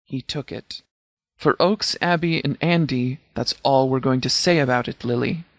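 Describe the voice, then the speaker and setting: An actor playing a stern, principled middle-aged sheriff in a video game, speaking in a formal, grave and deliberate way. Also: male voice, mid-range voice, vocal fry, dry recording, lightly acted. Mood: solemn. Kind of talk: scripted video game character dialogue.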